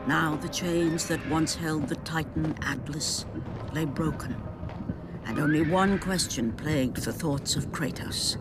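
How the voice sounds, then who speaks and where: A man narrating a mythic game story in a grave, dramatic voice pitched a little high. Loud orchestral music fills the space under his words throughout. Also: measured pace. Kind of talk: epic scripted narration. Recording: game cutscene with loud score.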